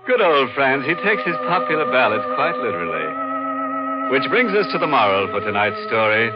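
A man's voice: mid-range voice, very animated, a little fast, natural voice. An actor playing a mock-sinister, tongue-in-cheek horror host on 1940s radio, savouring his macabre puns. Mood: gleeful, macabre. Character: gleefully macabre male horror show host. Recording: muffled old radio broadcast with music.

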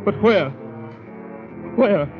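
An actor playing a panicky, polite, shell-shocked Canadian man who narrates his ordeal intensely and haltingly in a 1950s radio drama. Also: high-pitched, expressive, heavily acted. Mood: panicked, tense. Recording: dull vintage radio recording, music behind.

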